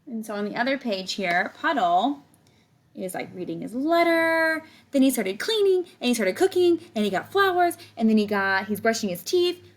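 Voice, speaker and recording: A woman's voice: a little high, lively intonation, normal speed. A woman reading a picture book aloud to children, with chatty asides and checking questions.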